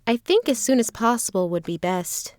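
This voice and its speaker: Sweet high-voiced young heroine, played by a woman. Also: expressive, moderate pace, a little mellow, no room sound, lightly acted. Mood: concerned, determined. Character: sweet, trusting, determined female traveling performer. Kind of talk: audio drama acting.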